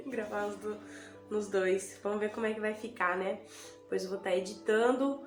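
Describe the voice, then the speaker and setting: A woman's voice: medium pitch, steady tone, normal speed, roomy sound, over music. A Brazilian Portuguese woman with a cold giving an unscripted personal testimony, hesitant and full of fillers as she talks to the camera. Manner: hesitant, filler-heavy, repeats herself. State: has a cold, sniffling. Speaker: vlogger giving a conversion testimony. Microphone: camera and phone.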